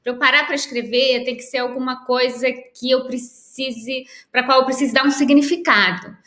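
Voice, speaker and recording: A Brazilian woman author, a guest on a remote interview, answering in a thoughtful, measured way with pauses and restarts. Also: sits high, steady tone, bright, forceful tone. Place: at home in Lisbon, by a window. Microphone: video call. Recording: echoey room on a video call.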